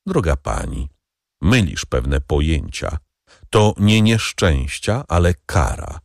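A man's voice: very deep voice, big pitch swings, slow pace, vocal fry, no echo, studio quality, full character voice. A man voicing a coldly ironic, vengeful middle-aged nobleman.

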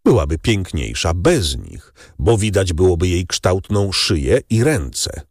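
A man voicing gossiping ladies and gentlemen at the opera in a raised, animated voice. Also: sits low, measured pace, no room sound, studio quality, pitched above the performer's own voice, heavily acted. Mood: chatty.

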